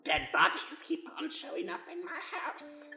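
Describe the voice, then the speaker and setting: A woman's voice: a little high, very animated, normal speed. A woman playing a blunt, indignant blind troll in an improvised comedy radio play. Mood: grudging, amused. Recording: roomy echo, faint music behind.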